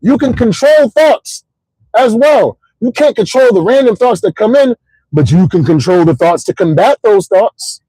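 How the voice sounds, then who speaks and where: A middle-aged man hosting a casual livestream, rambling in an animated, motivational way with lots of repeats and "you know what I'm saying". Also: high-pitched, moderate pace, husky, dry recording, low-quality recording. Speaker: host.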